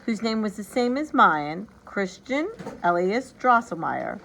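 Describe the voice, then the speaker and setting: A woman narrating a storybook aloud in an expressive, dramatic and deliberate way. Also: mid-range voice, slight background noise, rough recording. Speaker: teacher reading a storybook aloud.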